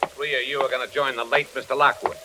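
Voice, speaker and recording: An actor playing a devious, smooth and menacing villain in a 1940s radio thriller. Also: male voice, mid-range voice, flat delivery, fast talker, lightly acted. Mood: menacing, desperate. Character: devious male villain, ex-FBI man posing as ally. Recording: noisy old radio recording.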